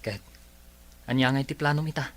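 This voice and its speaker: A man's voice: mid-range voice, brisk, fairly bright tone, no room sound, close to an everyday voice. A man with an Ilocano Filipino accent playing a supportive, easygoing buddy in a radio drama. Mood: friendly, obliging.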